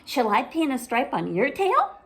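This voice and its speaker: A woman's voice: high voice, wildly swooping pitch, moderate pace, pitched above the performer's own voice, heavily acted. A woman voicing a timid, doubtful little-sister animal in a children's storybook, hesitant and questioning. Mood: eager, curious.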